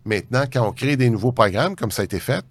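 A man's voice: medium pitch, animated, quick pace, dry recording. A man with a Canadian French accent explaining things off the cuff as an interview guest, often circling back mid-sentence. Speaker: tax ombudsman interviewed as guest. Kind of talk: unscripted explanatory interview talk.

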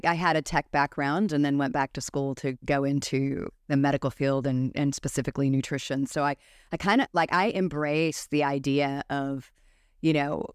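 A woman's voice: sits low, clear, resonant tone, no echo, studio quality. A middle-aged woman hosting a podcast, talking in a casual, conversational interviewing style. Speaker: podcast host.

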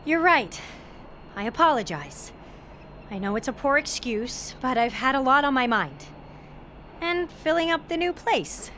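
Wry young student, lively higher voice. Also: female voice, a little slow, a little hiss or hum, heavily acted. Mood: placating. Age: young adult. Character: sheltered young college student daughter, wry, self-effacing, guarded. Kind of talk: naturalistic audio drama acting.

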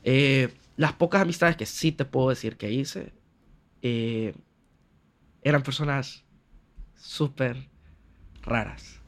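A man's voice: mid-range voice, takes it slowly, long pauses, fairly bright tone. A man with a Honduran accent talking casually as a guest, retelling personal stories with quoted dialogue and slangy asides. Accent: Honduran Spanish. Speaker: podcast guest, trained architect. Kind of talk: casual conversational storytelling.

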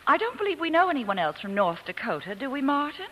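A woman's voice: high-pitched, very animated, fast talker, lo-fi sound, slight background noise. An actress playing a genteel, snobbish young society woman in a 1940s radio drama, coolly polite and condescending. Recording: vintage 1940s radio broadcast.

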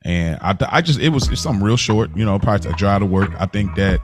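A man's voice: fairly low pitch, expressive, fast, faint music behind. An American man co-hosting a podcast in casual, slangy chat, often circling back and rephrasing as he goes. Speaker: podcast co-host.

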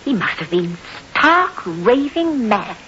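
A woman's voice: fairly high pitch, sing-song, slow pace, bright, forceful tone, exaggerated voice. An actress playing a blunt but sympathetic tarot-reading woman in a radio drama, with dramatic emphasis. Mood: shocked. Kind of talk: 1960s radio drama acting. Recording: muffled, hissy old radio recording.

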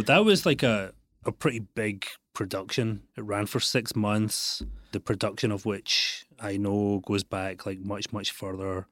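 A man's voice: fairly low pitch, no echo, clean studio sound. A man hosting a podcast, asking long, loose questions peppered with "like" and "you know". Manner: rambling questions full of likes and you-knows. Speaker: podcast host. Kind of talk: casual interview questions.

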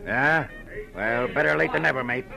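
An actor playing a gruff, belligerent old boatyard boss full of salty sailor slang, in 1940s radio drama style. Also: male voice, medium pitch, normal speed, over music, poor audio. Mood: gruff, scornful. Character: hot-tempered, gruff old sea dog boatyard boss.

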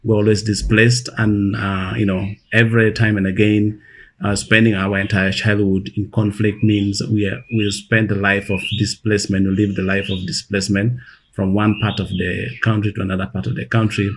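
Ugandan guy in his 30s, lowish voice, earnest and measured, repeats himself for emphasis. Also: flat delivery, a little hiss or hum. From northern Uganda. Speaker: guest. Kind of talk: recalling his life in an interview.

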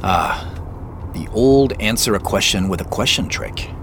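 A reflective, self-doubting man in an audio drama. His voice sits low and moves slowly, with lively swings in intonation. He is understated and halting. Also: noisy background. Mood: reflective, wry. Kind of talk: naturalistic audio drama dialogue.

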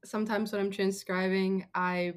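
Female podcast team member, medium pitch, informal conversational delivery. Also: level tone, normal speed. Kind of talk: casual chat.

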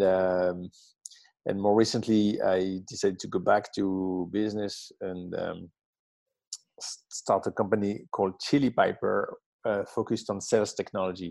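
A French-accented man in his fifties with a low, gravelly voice talks in halting bursts, full of ums and restarts. The sound is dry and close, with no room around it. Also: level tone, darkish tone, no room sound. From south of France. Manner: hesitant, many ums and restarts. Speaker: guest, tech company founder. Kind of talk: interview conversation.

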